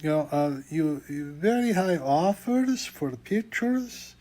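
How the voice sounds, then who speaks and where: An actor playing a smooth-talking foreign trafficker in a film, flattering and rambling in a halting, accented way. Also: male voice, fairly high pitch. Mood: reassuring, salesmanlike. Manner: halting, rambling, repeats "you know". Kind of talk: film dialogue.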